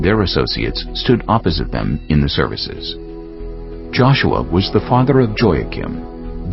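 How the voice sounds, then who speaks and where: A man reading scripture as an audiobook narrator, in a measured, solemn manner. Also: sits low. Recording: slightly dull audio with music behind. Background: clear background music.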